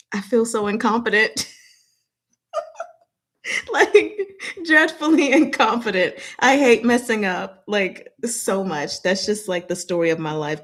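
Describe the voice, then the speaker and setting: A woman hosting a livestream in casual chat, with an animated, scattered, self-deprecating way of talking. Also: medium pitch. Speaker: livestream host.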